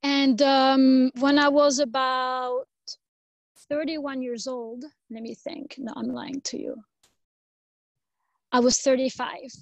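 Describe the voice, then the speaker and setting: A woman from France, a native French speaker, giving a personal talk as a guest, reflective and pausing between short phrases. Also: sits high, level tone, dry recording, dull audio. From Normandy, France. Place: online video conference.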